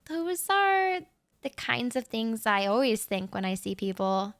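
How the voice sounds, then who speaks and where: Slow, shy young woman rambling softly. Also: a little high, sing-song, no echo. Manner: shy, rambling and soft-spoken with nervous laughs. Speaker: audio roleplay performer. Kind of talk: intimate audio roleplay monologue to the listener.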